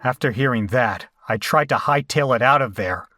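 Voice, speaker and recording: A man's voice: medium pitch, moderate pace, sharp tone, slight background noise, close to an everyday voice. A man playing a shaken horror survivor, narrating a bloody escape in a grave, dramatic audio drama style. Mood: horrified.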